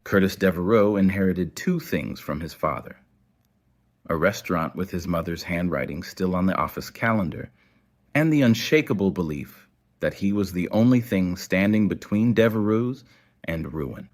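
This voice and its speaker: Male narrator for scripted fiction, lower-pitched voice, storytelling delivery. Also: lively intonation, moderate pace.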